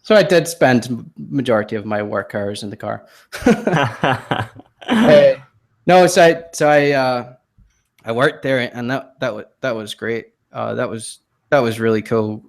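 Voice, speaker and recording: A man in his thirties from Scotland, his accent softened by years in the US. He is a podcast guest telling his story in casual chat, hesitant and self-deprecating, with plenty of ums and restarts. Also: medium pitch, a little slow, gravelly. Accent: Scottish, softened by years in the US.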